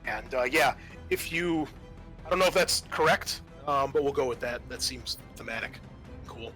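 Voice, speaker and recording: A man works as game master for a tabletop horror game, his voice bright and forward. He talks informally, reasoning through rules aloud between bits of narration. Faint music sits behind him. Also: mid-range voice, moderate pace.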